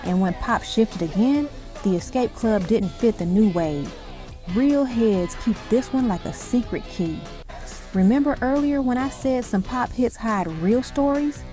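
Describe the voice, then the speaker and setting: A woman's voice: fairly high pitch, animated, a little slow, warm tone. A woman reading scripted documentary-style narration for a music countdown, in dramatic, punchy short phrases. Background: music behind the voice.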